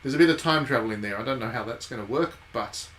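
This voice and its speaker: A man's voice: mid-range voice, quick pace, fairly bright tone, slight background noise. A man hosting a game stream, giving casual live commentary and thinking aloud in conversational asides.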